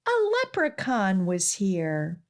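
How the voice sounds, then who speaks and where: A woman hosting a children's storytime and reading a picture book aloud in an expressive, deliberate way. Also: fairly low pitch. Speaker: children's librarian and storytime host.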